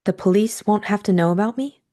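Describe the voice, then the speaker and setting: A woman playing a coy, anxious young femme fatale who feigns innocence, hushed and demure, in an audiobook drama. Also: medium pitch, a little fast, voice pushed up, close to an everyday voice.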